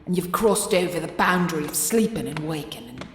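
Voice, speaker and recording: An ancient, eccentric street crone in a comic dub. Her voice sits on the low side as she rambles, coaxes and threatens with quaint endearments. The track has clear background noise. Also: expressive, brisk. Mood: rambling, cryptic. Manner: rambling, cajoling, threatening with old-fashioned endearments. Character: eccentric, menacing, ancient female street crone, 250 years old. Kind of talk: comic dub character acting.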